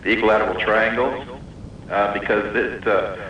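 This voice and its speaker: A man calling in to a radio show, chatting casually and haltingly. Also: lowish voice, steady tone, slight background noise. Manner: hesitant, frequent halting pauses. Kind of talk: casual, unscripted call-in talk. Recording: bright but dull-band, echoey, distant radio call.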